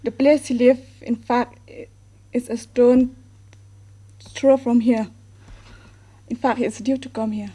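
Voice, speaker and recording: A woman playing an office clerk in a comedy series, brisk and helpful. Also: fairly high pitch, fairly even intonation, dark tone, slightly rough.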